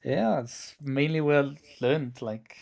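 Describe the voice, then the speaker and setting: A man's voice: mid-range voice, takes it slowly, creaky, darkish tone. A young South African man whose first language is Afrikaans, a gamer guest chatting in a hesitant, laid-back way. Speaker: guest, semi-pro gamer. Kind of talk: casual chat.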